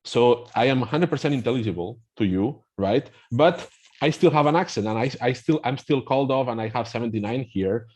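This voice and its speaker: A man's voice: medium pitch, a little fast, a little mellow. A middle-aged man with a Spanish accent, explaining things in a hesitant conversational way, full of fillers and restarts. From Spain. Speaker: language app representative, guest.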